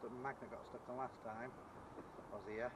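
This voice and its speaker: A man's voice: medium pitch, level tone, fairly quick. Offhand man outdoors, noisy audio. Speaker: vlogger, magnet fisher. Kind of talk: casual outdoor vlog chat to camera. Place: outdoors on a canal towpath by bridges. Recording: noisy outdoor canal-side camera audio. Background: clear outdoor ambient noise.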